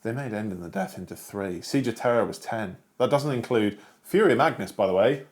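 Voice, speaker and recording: A British man with a fairly low-pitched voice talks casually as co-host of a book discussion show. His delivery is unscripted and informal, sprinkled with everyday slang. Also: fairly quick, a little mellow. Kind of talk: casual unscripted chat about books.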